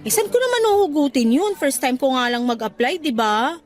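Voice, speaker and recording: A woman acting as an affectionate, encouraging young girlfriend in a radio drama, speaking in a coaxing, animated way. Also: high-pitched, moderate pace, soft background music. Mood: reassuring. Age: young adult. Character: supportive, upbeat young girlfriend cheering on her partner. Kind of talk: Tagalog radio drama dialogue.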